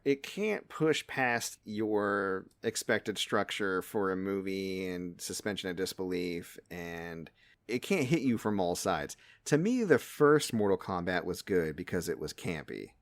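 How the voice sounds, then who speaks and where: A man hosting a podcast, chatting casually in an animated, joking way with some swearing. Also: low voice, unhurried, dry recording. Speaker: podcast host. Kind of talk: casual, bantering podcast chat.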